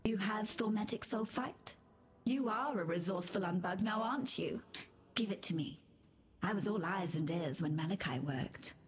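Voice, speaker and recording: A woman's voice: medium pitch, moderate pace. A woman playing a mad, whimsical gemling alchemist in a fantasy game, with a whispery, dreamy singsong delivery. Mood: gleeful, conspiratorial. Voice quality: breathy, whispery. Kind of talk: fantasy video game character dialogue.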